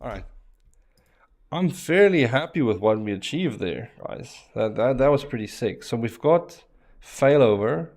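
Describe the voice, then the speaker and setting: A man's voice: medium pitch, measured pace. Chatty Afrikaans-speaking man streaming. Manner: relaxed, chatty, many fillers and restarts. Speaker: streamer teaching networking. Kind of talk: casual live-stream chat while working a lab.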